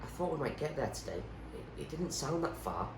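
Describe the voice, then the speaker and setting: A man's voice: fairly high pitch, big pitch swings, fairly quick, creaky, pitched above the performer's own voice. Man reading as a wary, questioning storybook girl. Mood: uneasy. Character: young girl heroine, wary, questioning, determined. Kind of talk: character dialogue in a storybook reading. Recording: roomy echo, faint background noise.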